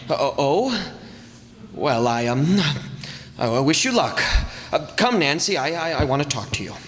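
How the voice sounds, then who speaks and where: A man's voice: a little high, big pitch swings, unhurried. A man playing a timid, dependent young nephew in a radio play, hesitant and stammering. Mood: flustered. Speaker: amateur radio drama player. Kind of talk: old-time radio play acting. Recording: reverberant hall, stage performance.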